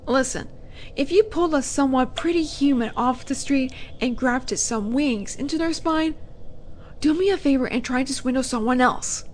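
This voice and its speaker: A woman voicing a haughty, teasing female demon in a roleplay audio drama, with a flirty, sardonic and deliberate manner. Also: high voice, a little hiss or hum, natural voice. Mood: skeptical.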